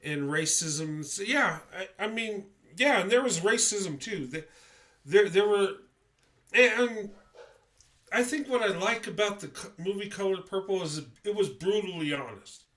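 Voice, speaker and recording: A man's voice: high-pitched, animated, measured pace, a bit bright. A congested man hosting a casual livestream, talking in a rambling, hesitant way with many fillers and restarts. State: congested, stuffy nose. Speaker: livestream host.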